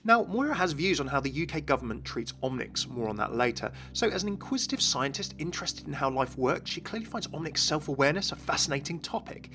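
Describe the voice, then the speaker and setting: A man's voice: a little high, big pitch swings, quick pace, hardly any pauses, pushed and bright, soft background music. A man hosting a scripted game lore explainer, addressing viewers directly and with enthusiasm. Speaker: video host, game lore commentator.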